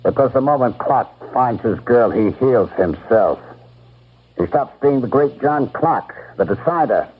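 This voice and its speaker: Husky, domineering company boss. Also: male voice, mid-range voice, animated, unhurried. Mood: imperious. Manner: imperious, emphatic. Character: cold, domineering, greedy male company boss. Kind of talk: old-time radio drama acting. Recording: tinny, muffled old radio recording.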